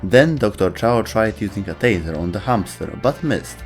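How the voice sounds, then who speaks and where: A man's voice: fairly low pitch, animated, moderate pace, faint music behind. A man narrating a scripted video game lore explainer as its host.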